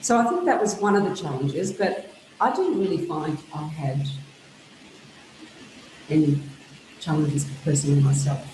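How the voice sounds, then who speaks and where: A woman's voice: deep voice, expressive, moderate pace, long pauses, mellow, roomy sound, a little hiss or hum, rough recording. A woman author, whose was Greek, thoughtfully recalling her childhood in an interview. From Australia. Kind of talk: reflective interview answers.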